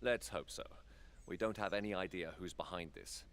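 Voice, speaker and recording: A stately council lord from a fantasy game. His voice is deep, and he speaks formally and guardedly, like a statesman carrying heavy worries. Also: moderate pace, a bit bright. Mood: guarded, weary. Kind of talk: fantasy video game cutscene acting.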